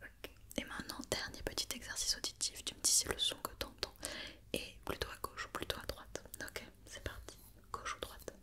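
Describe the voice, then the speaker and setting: A woman whispering an ASMR roleplay as a doctor giving an examination, with soft, reassuring and encouraging step-by-step instructions. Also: normal speed. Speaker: ASMR performer playing an occupational doctor examining a robot.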